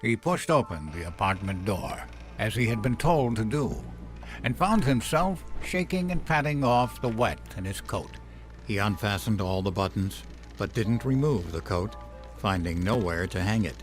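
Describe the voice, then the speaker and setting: A man's voice: lowish voice, expressive, moderate pace, faint music behind. A man narrating a scripted, noir-tinged story in a literary, atmospheric storytelling manner.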